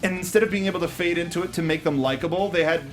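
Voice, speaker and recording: A man with a fairly high voice hosts a casual movie review panel. He talks in a halting, joking way, feeling his way through sentences, while music plays behind him. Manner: halting, jokey. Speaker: host of a film review panel show. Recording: music playing behind the talk.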